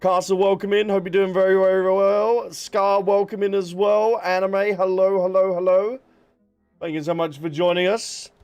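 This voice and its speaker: Higher-pitched man chatting on a livestream. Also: little pitch movement, moderate pace, darkish tone, smooth, faint music behind. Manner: chatty, greets viewers by name, informal. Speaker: live streamer, host. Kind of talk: casual livestream chat with viewers.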